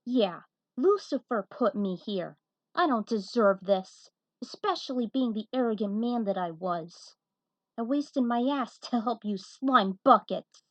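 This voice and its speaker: A woman's voice: on the high side, lively intonation, measured pace, no echo. Woman playing an arrogant, aggrieved young man, muffled. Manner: haughty, aggrieved. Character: arrogant, resentful damned man in his twenties. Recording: dull, muffled lo-fi recording.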